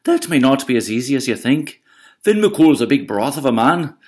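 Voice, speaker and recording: A man's voice: medium pitch, big pitch swings, moderate pace, pitched above the performer's own voice, close to an everyday voice. A man voicing a clever giant's wife who speaks in a sugary, coaxing way. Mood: sweetly coaxing.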